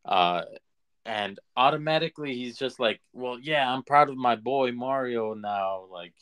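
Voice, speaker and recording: A man of average pitch chats casually as a podcast co-host. He is agreeable and a little hesitant, working out his opinions as he speaks. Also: a little slow, fairly bright tone, clean tone. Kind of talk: casual chat.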